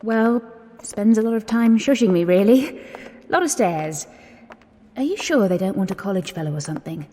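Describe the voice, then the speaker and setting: An actress as an earnest young English woman in a period radio drama. Her voice is mellow and medium in pitch, with an anxious, questioning edge. It carries a long reverberant tail, as in a big stone interior. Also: expressive, normal speed, close to an everyday voice. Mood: nervous. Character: anxious, earnest, self-taught young working-class Englishwoman, Agnes. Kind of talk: 1920s-set radio drama acting. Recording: reverberant hall-like space.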